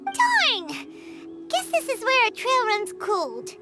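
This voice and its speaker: A woman voicing a tiny, chirpy and excitable fairy companion in a video game. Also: takes it slowly, loud music behind the voice, exaggerated voice. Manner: high, squeaky, childlike and bubbly.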